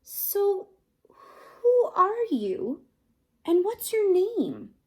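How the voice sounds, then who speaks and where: A woman voicing a brave, determined young female rabbit in a storybook, speaking firmly and deliberately. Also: extremely high pitch, wildly swooping pitch, voice pushed up, full character voice. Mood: questioning. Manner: bold, firm, deliberate scolding.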